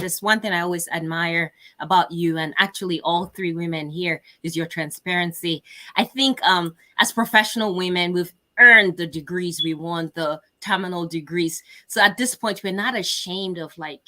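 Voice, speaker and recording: A middle-aged woman hosting an online panel, asking questions in a lively, conversational way. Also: on the low side. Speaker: webinar host and moderator. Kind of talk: webinar panel discussion.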